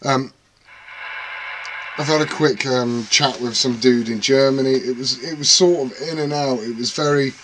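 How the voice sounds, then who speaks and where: A man's voice: medium pitch, normal speed, background noise. A man rambling casually and self-deprecatingly to camera about his radio gear at home. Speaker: CB radio hobbyist vlogger.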